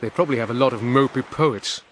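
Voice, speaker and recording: A breezy, whimsical time traveller tosses off his lines lightly, as a man plays him in an audiobook. The voice sits mid-pitch, with a faint hiss in the background. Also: quick pace, fairly bright tone, natural voice. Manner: airy, offhand. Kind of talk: audiobook reading.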